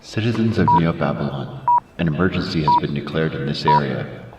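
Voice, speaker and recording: A man voicing a formal, impersonal automated city emergency announcer through a loudspeaker in an audio drama. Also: rumbling low, expressive, fairly quick, highs cut off. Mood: impersonal, official. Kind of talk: public address announcement. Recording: echoing, distant, very noisy loudspeaker.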